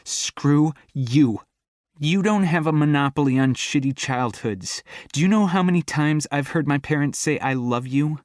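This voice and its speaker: A man reading an audiobook voices a defiant, hurt young woman who shouts at her partner, her voice cracking. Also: medium pitch, takes it slowly, pauses often, husky, no room sound, clean studio sound, pitched above the performer's own voice. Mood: furious.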